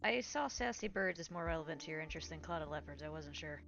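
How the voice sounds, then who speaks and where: Hoarse woman, gravelly, lowish pitch, teasing, casual gaming chat. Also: lively intonation, fast talker, fairly bright tone, highs cut off. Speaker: gaming group member. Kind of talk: casual chat over voice call.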